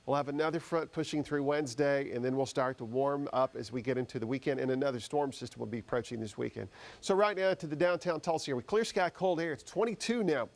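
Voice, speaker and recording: A man's voice: medium pitch, fast talker, a little mellow. American man giving a conversational weather forecast. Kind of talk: live unscripted weather forecast.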